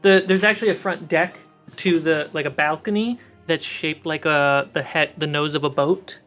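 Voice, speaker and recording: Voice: medium pitch, normal speed, a bit bright. Game master with music underneath. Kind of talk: narrating a tabletop roleplaying game.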